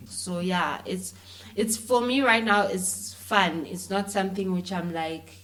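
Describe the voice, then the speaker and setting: A woman's voice: mid-range voice, steady tone, a little slow, a bit bright. A woman with a South African accent chatting informally and animatedly as an interview guest, using plenty of slang. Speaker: interview guest, filmmaker and actress. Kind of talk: casual conversational interview.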